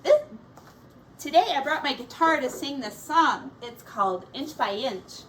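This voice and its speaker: A woman teacher reading a children's story aloud, explaining things gently and simply as she goes. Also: high-pitched, animated, unhurried, far from the mic, slight background noise.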